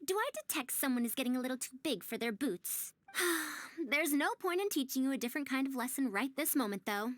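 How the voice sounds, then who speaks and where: A woman's voice: high-pitched, expressive, fairly quick, no echo, studio quality. A woman playing a prim, proud but kindly young-sounding researcher in a video game, speaking formally and articulately in wordy, old-fashioned phrases. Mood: playfully exasperated. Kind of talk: scripted video game character dialogue.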